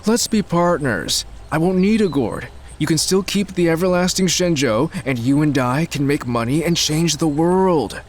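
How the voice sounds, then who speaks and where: A man's voice: fairly high pitch, normal speed, hardly any pauses, natural voice. A man playing an arrogant, demanding millionaire villain in an audio drama, speaking in a haughty, insistent way. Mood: haughty, menacing. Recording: faint background noise.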